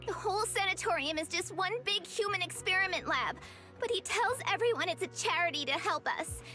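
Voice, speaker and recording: An outspoken child patient in a video game. The voice is extremely high and bright, with blunt urgency. Light music plays underneath. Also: female voice, expressive, fairly quick, heavily acted. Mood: bitter, accusing.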